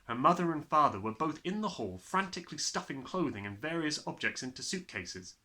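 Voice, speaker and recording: A man reading a children's story aloud as an expressive narrator. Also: fairly high pitch, brisk. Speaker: audiobook reader.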